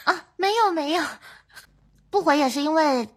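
A woman's voice: high voice, expressive, normal speed. A woman hosting a podcast in casual conversation. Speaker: podcast host. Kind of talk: casual chat.

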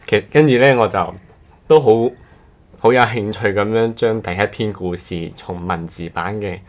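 A man with a very low voice and a Cantonese accent co-hosts a casual podcast. He talks in short, halting pieces, often circling back to rephrase. The delivery is conversational and unscripted. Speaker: podcast co-host. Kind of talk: casual chat.

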